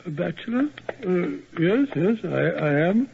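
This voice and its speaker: A man's voice: sits high, very animated, unhurried, gravelly, background noise, exaggerated voice. An actor playing a flustered middle-aged male doctor sidekick who stammers and blusters, in 1940s radio acting. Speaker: actor and sign-off host. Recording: dull lo-fi 1940s radio broadcast.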